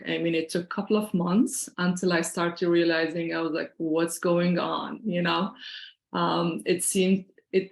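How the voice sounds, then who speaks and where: Middle-aged woman, Syrian accent, earnest workshop presenter on a video call; lowish voice with some fry. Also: echoey room. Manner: earnest, explanatory, conversational. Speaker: financial wellness workshop presenter.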